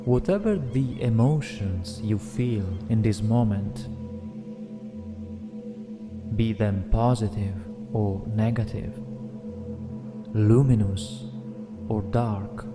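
A man narrating a guided meditation in a gentle, soothing, deliberate way, leaving long pauses between phrases. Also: lowish voice. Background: meditation music with singing bowls.

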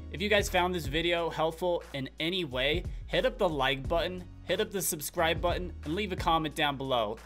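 A young man hosting a YouTube tutorial, speaking straight to viewers in clear step-by-step, upbeat scripted instructions. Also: a little high, moderate pace, few pauses, a bit bright. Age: young adult. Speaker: tutorial video host. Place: small indoor room at a desk. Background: faint background music.

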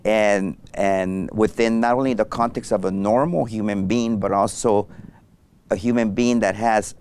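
Deep-voiced middle-aged man, halting. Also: darkish tone, slight background noise. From Mexico. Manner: thoughtful, halting with many fillers and restarts. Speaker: anesthesiologist guest. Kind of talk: interview conversation. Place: studio set with armchairs. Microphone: lapel mic.

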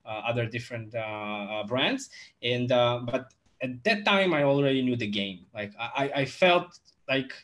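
Young male guest, halting delivery with restarts, desk mic in a home office. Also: mid-range voice, a bit bright. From Moldova. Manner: halting, many fillers and restarts. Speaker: guest, e-commerce entrepreneur. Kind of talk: casual video-call interview.

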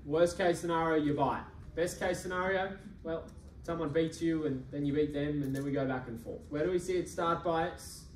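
Young guy auctioneer pushing buyers for bids, higher voice, echoey room, mic kinda far off. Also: fairly even intonation, a little hiss or hum. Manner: brisk, persuasive, repetitive bid calling. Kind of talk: live property auction calling. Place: function room with audience. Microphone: far camera.

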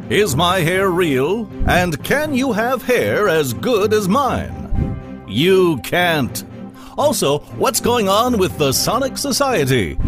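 A pompous, self-admiring news anchor played by a man reads a spoof radio newscast. His delivery swings widely in pitch and sits a bit high, over a music bed. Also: exaggerated voice. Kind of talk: comedy newscast parody, scripted.

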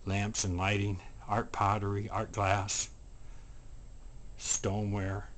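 A man with a deep voice gives a promotional tour of a shop, speaking from behind a handheld camera. He names items one after another in short, unhurried phrases and often repeats himself. Light room noise sits behind his voice. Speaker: antique mall booth presenter. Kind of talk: promotional walkthrough narration. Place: indoor antique mall showroom. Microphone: handheld camera, operator speaking from behind it. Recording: steady background room noise.

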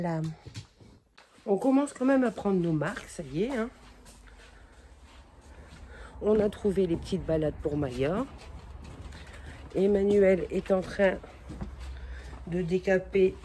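Middle aged lady doing a chatty home tour, really mellow voice, some noise behind her. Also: mid-range voice. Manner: informal, chatty, talks to her pet in passing. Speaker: vlogger giving a house tour. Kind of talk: casual home-tour chat to camera. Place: indoors, walking through house rooms.

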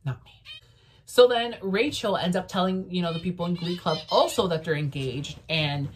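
A woman's voice: fairly low pitch, normal speed, a little hiss or hum. A woman whose first language is Spanish, chatting casually and outspokenly in a TV review vlog from her bedroom, filmed by a camera on the desk. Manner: sassy, outspoken, lots of fillers. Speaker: vlogger reviewing a TV series. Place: bedroom, indoors.

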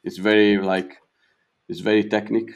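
A young Italian man with a slight South African tinge, a pro golfer answering interview questions in halting English that repeats words. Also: on the low side, little pitch movement. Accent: Italian, with a touch of South African. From Italy.

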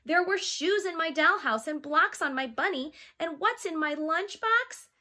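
Female children's read-along teacher, thirties, bright tone, swooping sing-song delivery, engages listeners directly. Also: very high-pitched, unhurried. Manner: animated, sing-song, asking the listeners questions. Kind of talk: expressive read-aloud to young children with chatty asides. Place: small child's bedroom, indoors.

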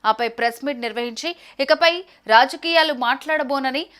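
A woman's voice: sits high, normal speed, fairly bright tone. A woman news presenter reading a scripted report in a formal news narration style.

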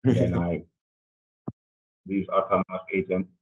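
A man's voice: low-pitched, monotone, fairly quick. A man taking part in an online class, explaining casually over a thin, muffled video call line.

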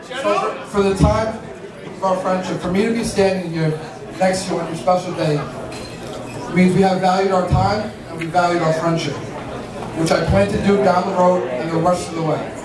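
A man's voice: high-pitched, fairly even intonation, normal speed. A man, nervously and informally delivering a wedding toast as best man. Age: 20s. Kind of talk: prepared wedding toast with casual joking asides. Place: large crowded indoor wedding reception venue. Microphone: handheld mic into PA, picked up by distant camera. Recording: echoey hall from far off, loud crowd.

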